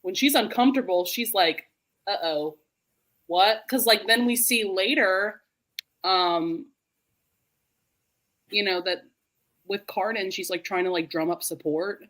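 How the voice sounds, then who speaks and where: A woman hosting a book discussion in a casual, animated way, full of "like" and quick to laugh. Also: medium pitch. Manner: animated, laughs readily while talking; informal, heavy use of "like". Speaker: host of a book readalong live show. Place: remote group video call.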